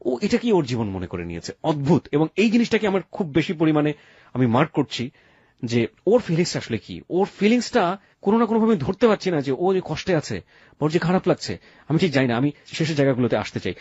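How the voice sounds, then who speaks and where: A man's voice: fairly high pitch, sing-song, fast, darkish tone, slightly rough, no echo, dull audio. A man with a Bangladeshi Bengali accent hosting a radio interview, asking questions and commenting reflectively, mixing in English words. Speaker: radio show host interviewer.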